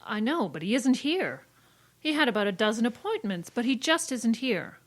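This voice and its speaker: A woman's voice: on the high side, very animated, moderate pace. A woman playing a prim, anxious newspaper secretary in an old-time radio drama. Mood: brisk, guarded.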